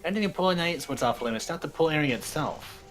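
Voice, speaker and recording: A man voicing a big, gentle, simple-minded young man who speaks in broken grammar for an anime game fan dub. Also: on the high side, animated, quick pace, faint music behind, close to an everyday voice. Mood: earnest. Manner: broken grammar, dropped words.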